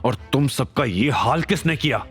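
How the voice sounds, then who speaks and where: Casting: man playing blustering male crime boss for audio serial, hot-tempered, easily rattled, very animated delivery. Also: medium pitch, a little slow, husky, faint music behind, pitched above the performer's own voice. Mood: angry, irritated. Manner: irritable, swearing, stammers when scared.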